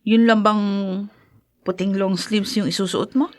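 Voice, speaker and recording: A woman's voice: medium pitch, fairly even intonation, a little slow, lightly acted. A woman acting in a radio drama as a put-upon member of a household, mild and casual in manner. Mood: compliant, curious.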